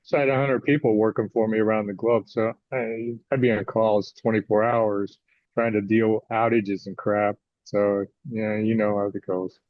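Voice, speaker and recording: An American man in his sixties speaking conversationally as an interview guest, often pausing mid-thought. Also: fairly low pitch, little pitch movement, dry recording. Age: 60s. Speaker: guest author. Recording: slightly dull, lo-fi audio.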